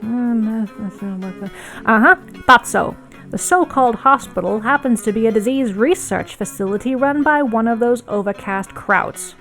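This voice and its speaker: Woman playing a male rebel radio host, brash, excitable, theatrical, emphatic, audiobook monologue, faint music. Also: a little high, unhurried, voice pushed up. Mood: boastful.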